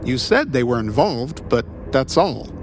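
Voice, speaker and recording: Wisecracking ex-cop detective played by a man, animated mid-range delivery, quippy, easily flustered, audio drama banter. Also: mid-range voice, measured pace, noisy background. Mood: earnest. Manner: flustered stammering, flippant quips. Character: wisecracking, awkward male private eye, rough-edged ex-cop. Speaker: private detective character, former cop.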